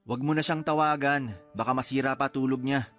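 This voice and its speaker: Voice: medium pitch, fairly even intonation, fairly quick, a bit bright, faint music behind, close to an everyday voice. An actor playing a guarded, protective young love interest in a radio drama, with a Filipino accent and restrained delivery. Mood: guarded, concerned.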